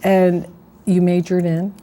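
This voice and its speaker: A woman conducts an oral history interview in a mellow, somewhat low voice. She chats easily with her guest and slips in gentle, teasing asides between her questions. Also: little pitch movement, slow.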